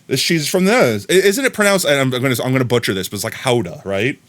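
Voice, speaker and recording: An American man talks casually to his viewers, rambling along and yelling excited thanks now and then. His pitch sits in the middle but moves a lot, so the voice sounds lively and animated. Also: fast talker. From United States. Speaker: livestreamer. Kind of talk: casual live-stream chat.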